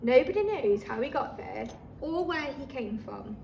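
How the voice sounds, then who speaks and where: A woman reading a children's story aloud like a teacher, expressive and talking listeners through the pictures. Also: high-pitched, unhurried, gravelly. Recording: roomy, distant microphone, faint noise.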